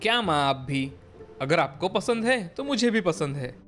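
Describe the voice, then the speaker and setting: A man's voice: sits high, expressive, moderate pace, a bit bright, faint music behind. A man playing an agreeable, dutiful family man in a cartoon story. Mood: dutiful, resigned.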